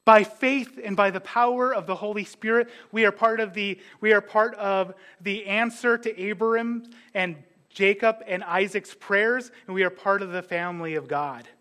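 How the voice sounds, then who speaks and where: A man's voice: high-pitched, normal speed, few pauses, bright, forceful tone. A man preaching a sermon in a conversational way, mixing scripture with jokes and asides. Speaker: pastor.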